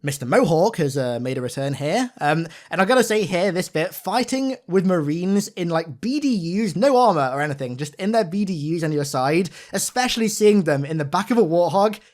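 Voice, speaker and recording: Man, higher-pitched voice, excitable, informal, gaming breakdown commentary, close voice-over mic. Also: brisk, hardly any pauses. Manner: informal, excitable fan talk. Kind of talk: enthusiastic gameplay breakdown commentary.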